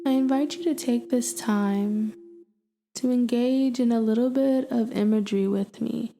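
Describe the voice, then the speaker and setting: A woman leading a guided meditation in a soothing, gentle manner, leaving long pauses between invitations. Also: on the high side, steady tone, vocal fry. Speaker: meditation guide and podcast host. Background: ambient meditation music with humming tones.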